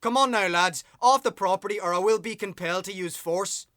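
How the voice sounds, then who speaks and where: A man's voice: sits high, brisk, sharp tone, no room sound, studio quality. An actor playing a smug, menacing male bailiff's assistant with a smarmy, sneering manner in a radio play. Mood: threatening.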